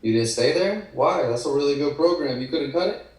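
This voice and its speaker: A man's voice: on the high side, animated, a little fast, a little mellow, lightly acted. Man playing a condescending employer, very echoey. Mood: probing, condescending. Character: probing, condescending male employer in his thirties. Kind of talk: table read acting. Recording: echoey room, distant video call mic.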